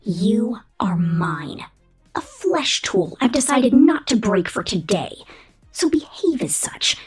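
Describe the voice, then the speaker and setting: A woman's voice: mid-range voice, big pitch swings, slow, faint music behind, exaggerated voice. A woman voicing a domineering, sadistic female corporate killer robot in a menacing, half-whispered ASMR roleplay monologue. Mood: haughty, possessive. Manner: menacing, contemptuous, commanding, breathy half-whispered delivery.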